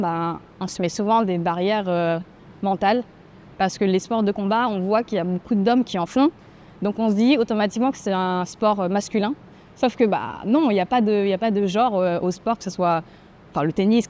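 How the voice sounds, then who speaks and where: A young woman from French Guiana speaks in a mellow, warm tone at a middle pitch. She answers interview questions thoughtfully, hesitating often and filling pauses as she gathers her words. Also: a little hiss or hum. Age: 20s. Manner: thoughtful, hesitant, frequent fillers. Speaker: grappling athlete interviewed. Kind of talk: documentary interview.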